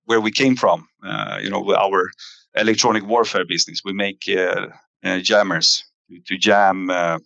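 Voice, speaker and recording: A man giving a company investor presentation in a halting way, pausing often with fillers. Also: sits low, steady tone, vocal fry, sharp tone, dry recording, highs cut off. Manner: halting, hesitant delivery with frequent fillers. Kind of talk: investor earnings presentation, semi-scripted.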